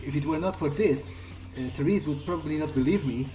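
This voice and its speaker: A man doing an in-character let's play, thinking aloud in a halting, hesitant way and repeating words. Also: on the high side, warm tone, soft background music, poor audio. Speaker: gamer playing in character.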